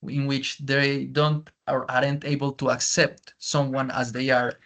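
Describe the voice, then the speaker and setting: A man's voice: mid-range voice, flat delivery, unhurried, a bit bright. A man with a strong Spanish accent, a native Spanish speaker, teaching English and giving feedback on a video call, often restating points in Spanish. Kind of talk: online feedback session.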